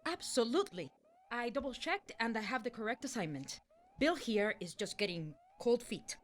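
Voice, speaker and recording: Bungling female aide, acted by a woman, lively voice. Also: on the high side, moderate pace. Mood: confident. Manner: apologetic, overconfident, flustered. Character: bungling, eager woman Chief of Staff. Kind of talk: semi-comedic radio play acting.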